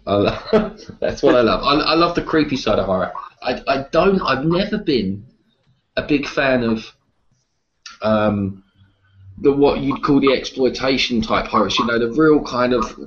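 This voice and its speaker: A man chatting casually as an interview guest about what he likes, halting and repeating words as he goes. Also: mid-range voice, lively intonation, muffled recording. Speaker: interview guest, horror writer and publisher. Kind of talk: casual conversation about horror films.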